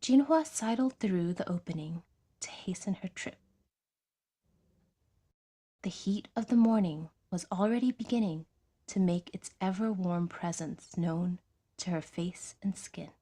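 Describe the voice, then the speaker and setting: Soft, unhurried woman narrating fiction. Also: fairly low pitch, breathy edge. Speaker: audiodrama narrator.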